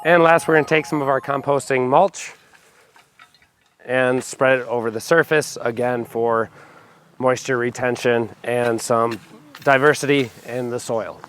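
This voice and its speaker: A young man hosting a homestead vlog, hoarse from a cold, explaining his backyard planting step by step with enthusiasm. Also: medium pitch, steady tone, a little slow, a bit bright, faint music behind. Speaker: homestead channel host. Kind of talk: casual gardening vlog. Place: backyard, outdoors.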